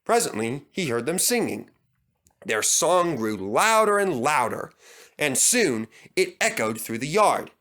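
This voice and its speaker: A man's voice: on the high side, sing-song, measured pace, a bit bright. A man reading a children's storybook aloud as narrator.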